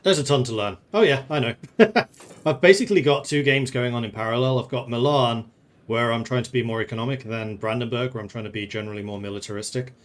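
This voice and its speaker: A man's voice: medium pitch, fast talker. A man from the Netherlands who grew up in England, streaming a strategy game and thinking aloud in casual commentary, chatting with viewers and laughing often.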